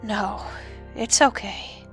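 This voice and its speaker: A woman's voice: medium pitch, extremely slow, fairly bright tone, soft background music. A woman voicing a queenly goddess and protective sister in an audio drama, earnest and firm. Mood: concerned.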